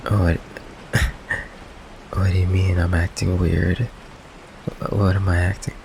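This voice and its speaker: A man acts as a nervous goth vampire boyfriend in an intimate ASMR roleplay. His voice is very low and unhurried, full of stammers and evasions, yet affectionate. Rain runs clearly behind him. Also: little pitch movement, background noise, full character voice. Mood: defensive, flustered. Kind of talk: ASMR roleplay, intimate one-sided conversation. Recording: rain ambience behind close voice.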